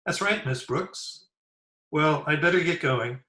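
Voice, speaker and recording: Folksy teen boy, radio comedy, adult male actor: slangy, keen, piercingly bright, echoey remote recording. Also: fairly high pitch, unhurried. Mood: eager. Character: eager, folksy, simple teenage schoolboy. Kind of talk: old-time radio comedy acting.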